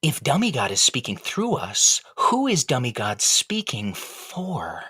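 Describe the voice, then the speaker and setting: Wooden dummy, husky voice, lively swooping intonation, insistent, philosophical, comic audio play. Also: male voice, mid-range voice, a little slow, exaggerated voice. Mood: awed, excited. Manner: insistent, pondering, questioning. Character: brooding, philosophical wooden male ventriloquist dummy, indignant underdog.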